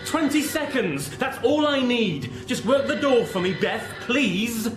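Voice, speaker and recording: A man's voice: very high-pitched, lively intonation, unhurried. An actor playing an urgent, impatient male scientist in a radio drama, insistent and recorded with a roomy echo. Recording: roomy echo with music behind.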